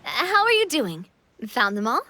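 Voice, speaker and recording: Anime game heroine: young, playful, casual, lively swooping pitch, bright edge. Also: female voice, a little slow, a little hiss or hum, heavily acted. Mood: upbeat. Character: perky, breezy young female train-driving adventurer, teasing. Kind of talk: anime-style video game voice acting.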